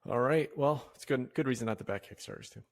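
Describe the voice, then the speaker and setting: A man hosting a podcast in casual discussion, speaking conversationally and haltingly as he thinks aloud. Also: mid-range voice. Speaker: podcast host leading a discussion.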